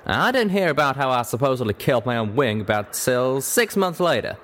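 A man's voice: medium pitch, big pitch swings, a little fast, fairly bright tone, pitched above the performer's own voice. A man voicing a young, plain-spoken pegasus cowboy and bashful ex-captain with a folksy Southern or Western American drawl, in an audio story. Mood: wry, defiant. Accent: American South or West drawl.

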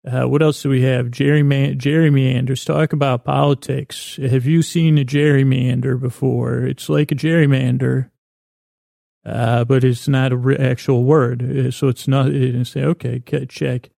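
A man with a gravelly, creaky voice and a soft, mellow tone hosts a bedtime podcast in a close, dry sound. He meanders whimsically from thought to thought, stopping, doubling back and repeating words. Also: mid-range voice, little pitch movement, normal speed, no room sound. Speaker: podcast host. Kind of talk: rambling, whimsical bedtime monologue.

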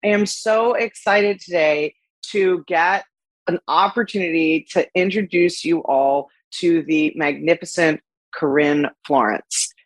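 A woman's voice: lowish voice, no room sound. A woman hosting an interview podcast, gushing and upbeat as she welcomes and questions her guest. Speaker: podcast host. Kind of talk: casual interview chat.

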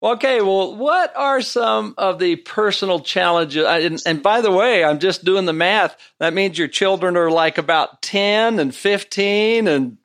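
A man's voice: high voice, measured pace, hardly any pauses, fairly bright tone. A man hosting an interview, asking questions in an effusive, enthusiastic way. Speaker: host. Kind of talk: interview host.

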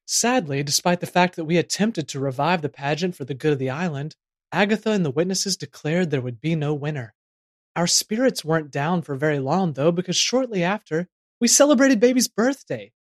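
A man's voice: a little high, lively intonation, brisk, no echo. Theatrical male narrator, fairly dry sound. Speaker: narrator and performer. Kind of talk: scripted comedic audio drama narration.

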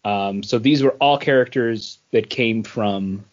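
A man's voice: lowish voice, a little slow, lo-fi sound. A man co-hosting a podcast, chatting casually and explaining trivia in a nerdy way. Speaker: podcast co-host. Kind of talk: casual chat, explaining comic and film trivia.

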